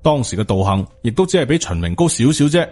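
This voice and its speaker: A man's voice: mid-range voice, big pitch swings, fast, darkish tone. A man with a Hong Kong Cantonese accent reading scripted supernatural horror fiction as an audiobook narrator. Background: music.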